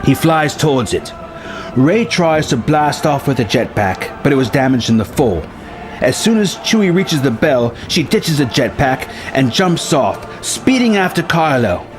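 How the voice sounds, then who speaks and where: Man narrating dramatically over music. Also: fairly high pitch. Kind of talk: dramatic narration of a film story treatment. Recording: music bed under the voice.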